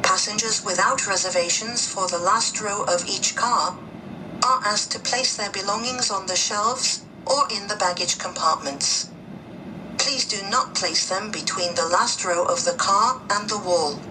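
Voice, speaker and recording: A woman's voice: medium pitch, takes it slowly, over music. A woman reads a formal, carefully enunciated scripted announcement for train passengers, heard through cabin speakers. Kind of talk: scripted English safety announcement. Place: played over speakers inside train car. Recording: distant cabin PA, train noise. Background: train ventilation and vehicle noise.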